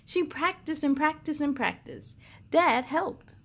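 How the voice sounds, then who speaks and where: A woman hosting a story time for children, reading and chatting in an animated, playful way. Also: high-pitched, measured pace. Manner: animated, exclamatory, playful with sound effects. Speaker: story time host reading picture books. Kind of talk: children's read-aloud storytelling.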